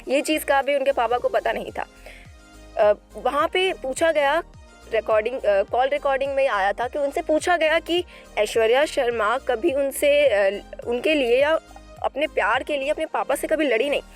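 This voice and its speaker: Female gossip presenter, high-pitched, informal retelling with frequent self-corrections over background music. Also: normal speed. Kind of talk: informal celebrity news narration.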